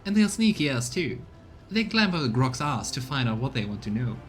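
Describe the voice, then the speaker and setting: A man voicing a rough, scornful smuggler in a video game, coarse and full of soldier slang. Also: medium pitch, sing-song, a little fast, faint music behind, close to an everyday voice.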